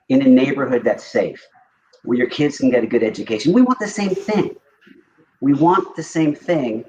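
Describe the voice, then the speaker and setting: A man's voice: mid-range voice, a little mellow. A man speaking as a panelist in a reflective, casual conversation, candid and self-deprecating, repeating words for emphasis. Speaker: discussion panelist. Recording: dull, clearly noisy recording.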